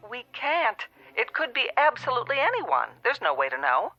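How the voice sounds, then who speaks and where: A woman's voice: a little high, huge pitch swings, normal speed. A woman playing a patient but unhelpful telephone operator in a radio drama, businesslike and placating, heard through a phone filter. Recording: thin, band-limited telephone-line sound.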